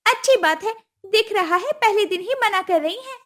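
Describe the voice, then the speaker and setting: A woman's voice: extremely high pitch, expressive, moderate pace, fairly bright tone, pitched above the performer's own voice. A woman voicing a spoiled young sister-in-law who talks in a sulky, demanding way.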